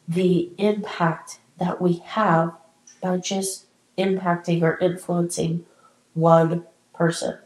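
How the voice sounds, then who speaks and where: Woman host, candid and encouraging, slightly congested, low-ish voice, roomy echo. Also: darkish tone. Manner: candid, encouraging, casual swearing. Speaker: podcast host. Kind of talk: solo reflective podcast talk.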